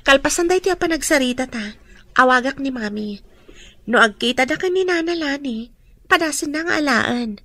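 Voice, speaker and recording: A woman's voice: sits high, expressive, normal speed, a bit bright. A woman with an Ilocano accent acting an obliging young woman in a radio drama. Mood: playful, obliging.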